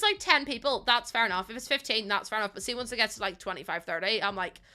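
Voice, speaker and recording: A woman's voice: medium pitch, bright, forceful tone. A young woman with a Northern Irish accent chatting casually while live-streaming, rambling and self-mocking with lots of fillers.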